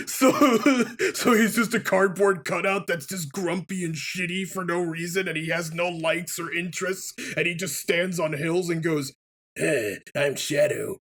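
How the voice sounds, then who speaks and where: Man, slightly high voice, casual gaming commentary, rambling, opinionated, sweary. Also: a bit bright. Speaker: let's player commentator.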